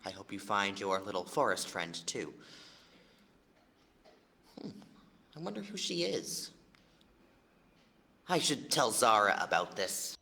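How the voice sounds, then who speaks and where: An actor playing a calm, cryptic male mentor who gently prompts and encourages, in an audio drama. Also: on the low side, takes it slowly, gravelly, pauses often, full character voice. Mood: encouraging, wry.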